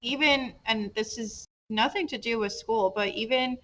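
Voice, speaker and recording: A woman hosting a casual podcast interview, whose first language is Polish, chatty and quick to laugh. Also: on the high side, a bit bright, rough recording. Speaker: podcast host.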